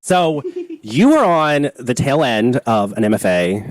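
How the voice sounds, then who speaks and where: A man's voice: mid-range voice, big pitch swings, unhurried, dry recording. Casual American man, dry and close. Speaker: podcast host. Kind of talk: casual interview chat.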